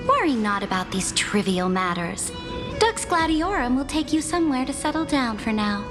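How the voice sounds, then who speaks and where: A regal game sovereign, whose high voice rings out with long reverb. She pronounces her words with aloof, imperious disdain over music. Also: very animated, normal speed. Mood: aloof, commanding. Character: imperious, dismissive female sovereign of a holy city. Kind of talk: video game voice acting.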